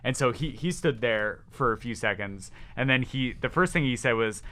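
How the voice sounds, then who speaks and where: A man with a loud, resonant voice, talking excitedly as a podcast guest, rambling with many restarts. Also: mid-range voice, normal speed. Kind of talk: casual chat.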